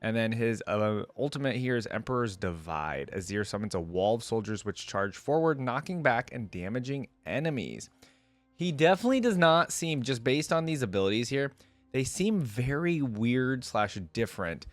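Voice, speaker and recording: A man's voice: mid-range voice, expressive, moderate pace, dry recording, faint music behind. A man giving casual, off-the-cuff reaction commentary as a host, musing aloud as he goes.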